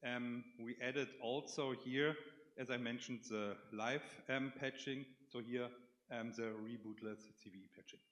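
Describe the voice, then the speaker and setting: A man presenting technical support options at a conference, halting with fillers. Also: mid-range voice, steady tone, fairly bright tone, reverberant, in a large hall. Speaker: conference speaker.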